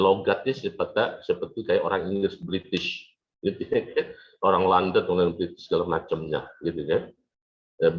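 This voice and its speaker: Low-voiced man lecturing, dull audio. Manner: conversational and repetitive, with frequent check-in tags. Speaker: lecturer in an Arabic language course. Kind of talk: informal classroom lecture.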